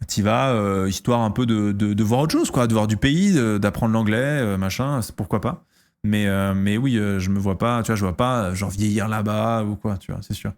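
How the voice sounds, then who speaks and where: Man, thirties, from France, fairly low voice, casual stream chat, halting, many fillers. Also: a little mellow. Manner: hesitant, lots of fillers and restarts.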